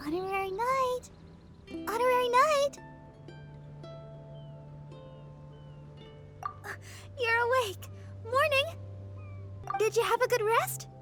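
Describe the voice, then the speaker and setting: A woman's voice: extremely high pitch, a little slow, faint music behind. A woman voicing a sweet, caring teenage girl in a video game, kindly, earnest and encouraging. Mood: cheerful.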